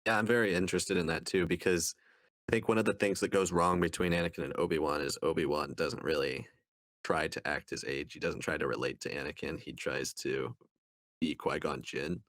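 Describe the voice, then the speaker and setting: A man with an extremely low, deep voice speaks as a podcast co-host in a casual discussion. His delivery is thoughtful and a little halting, and the sound is close and dry. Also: steady tone, a bit bright, no echo. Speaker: podcast co-host reviewing books.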